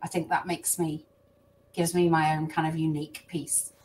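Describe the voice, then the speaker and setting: A woman's voice: sits low, flat delivery, moderate pace, darkish tone, far from the mic, slight background noise. A woman in her thirties with a strong Midlands accent, speaking directly on a panel. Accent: Midlands English. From English Midlands. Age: 30s. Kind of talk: panel discussion.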